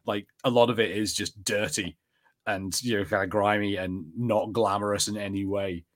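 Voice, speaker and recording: A man with a fairly low voice hosts a podcast in loose, casual conversation. He rambles along conversationally, often circling back to restart a thought. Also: fairly even intonation, moderate pace, fairly bright tone. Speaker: podcast host reviewing TV. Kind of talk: casual chat.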